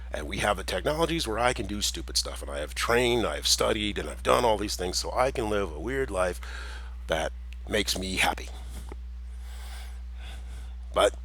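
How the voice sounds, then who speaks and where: Low husky man, rambling monologue. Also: a bit bright. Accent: American with a slight Jamaican tinge. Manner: meandering, with many restarts and chuckles.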